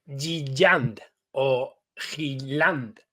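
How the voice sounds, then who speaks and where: A man's voice: medium pitch, very slow, pushed and bright. A man hosting a casual podcast, reading out a list of comic titles one by one in short bursts. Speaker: podcast host.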